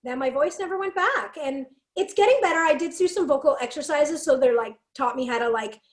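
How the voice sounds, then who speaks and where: A woman from Canada with a raspy, fairly deep voice, telling stories in a casual chat and acting out the lines she quotes. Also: brisk, reverberant. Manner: animated, acts out quotes, laughs often. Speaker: podcast guest, singer and speaker.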